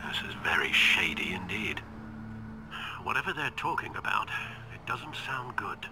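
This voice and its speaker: Older butler, highly expressive. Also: male voice, music in the background. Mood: measured, advising. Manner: formal, measured advice. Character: loyal older male butler, courteous, concerned, dryly wise. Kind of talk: video game voice acting.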